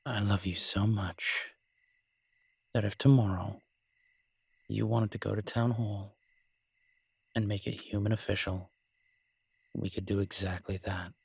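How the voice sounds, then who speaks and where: Male actor as devoted alpha werewolf lover for romantic audio drama: low, even, intimate, teasing and protective. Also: creaky, slightly rough, no room sound, heavily acted. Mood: tender, devoted. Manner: tender, teasing, unhurried pauses.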